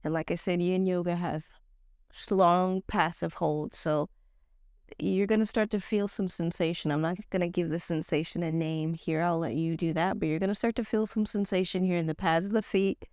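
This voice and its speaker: Stuffy-nosed woman teaching yoga, low voice. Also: clean tone, no room sound, studio quality. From Nigerian-American. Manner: casual slangy teacher, slow calm cues. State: stuffed up, slightly nasal. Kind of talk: guided yoga instruction.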